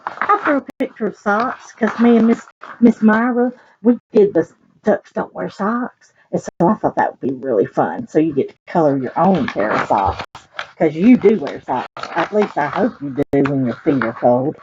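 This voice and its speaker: A woman's voice: on the low side, lively intonation, normal speed, lo-fi sound, background noise. A woman with a Southern US accent reading a children's picture book aloud, chatting in folksy asides and putting questions to her young listeners. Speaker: library storyteller.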